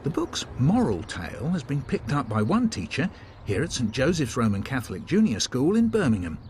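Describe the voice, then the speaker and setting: Lively male programme presenter. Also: medium pitch, a little hiss or hum. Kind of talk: scripted magazine programme narration. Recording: faint music bed behind. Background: faint music.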